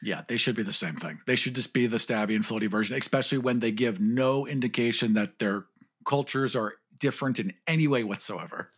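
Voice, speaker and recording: A man's voice: mid-range voice, brisk, fairly bright tone, breathy edge. A man co-hosting a podcast, either reading a script or chatting about games. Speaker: podcast co-host. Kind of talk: scripted reading and casual discussion.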